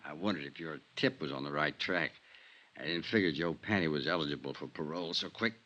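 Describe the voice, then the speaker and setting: A crooked insurance adjuster in 1950s radio drama style. The voice is deep but forceful and bright. He talks in folksy tough-guy slang, with a sardonic, falsely genial air that covers a killer. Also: fairly quick, highs cut off. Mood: coolly evasive.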